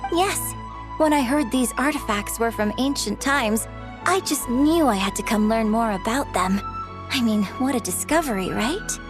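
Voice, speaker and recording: A woman's voice: on the high side, animated, normal speed, over music, lightly acted. A woman playing a polite, gracious young lady in a video game, speaking in a courteous, earnest way. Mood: friendly, eager.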